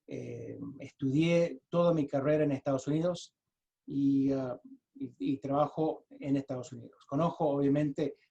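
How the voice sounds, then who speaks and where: A man with an American accent, a native English speaker, giving an explanatory webinar presentation in his second language over a video call, halting and often restarting his sentences. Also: medium pitch, creaky, mellow, muffled recording. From United States. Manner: halting, with frequent restarts and repeated words.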